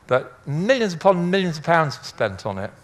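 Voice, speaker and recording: A man's voice: a little high, very animated, moderate pace. An older man giving an informal illustrated lecture in a chatty, rambling, anecdotal way with dry humour. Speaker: speaker, former air traffic controller.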